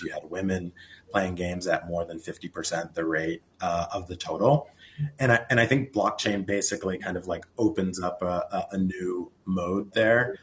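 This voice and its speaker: Very deep-voiced man explaining on a panel. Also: slight background noise. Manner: measured and explanatory, with frequent "um". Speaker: conference panelist. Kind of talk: panel discussion answer.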